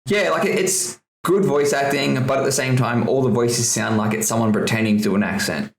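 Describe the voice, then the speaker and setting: A man's voice: medium pitch, fairly quick, creaky. A man co-hosting a casual podcast, ranting and swearing often with bursts of laughter. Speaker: podcast co-host. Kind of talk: casual chat. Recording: echoey room.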